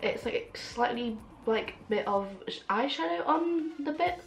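A young woman reviewing makeup in a casual vlog, excitable and full of fillers, her voice a little rough from a cold and sore throat. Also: on the high side, unhurried. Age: young adult. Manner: gushing, excitable, many fillers. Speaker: beauty vlogger. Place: small room at home, indoors. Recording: echoey room with faint music.

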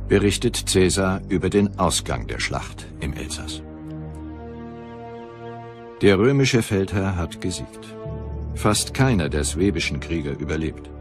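Very deep male documentary narrator. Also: lively intonation. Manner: measured, serious, dramatic present-tense telling. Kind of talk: scripted historical documentary narration. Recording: music behind the voice. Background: music bed.

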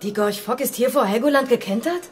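An actress as a fearless captive in a radio play. She challenges people sharply and rapidly in a fairly high voice, a little away from the microphone. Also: animated, distant mic, a little hiss or hum, lightly acted. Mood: indignant. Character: brisk, fearless captive woman. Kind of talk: radio drama acting.

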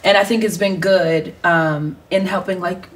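A woman worship singer talking thoughtfully as a guest on an interview set, with many pauses and ums. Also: fairly low pitch, fairly even intonation, distant mic. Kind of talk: casual interview chat. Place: bright living-room studio set.

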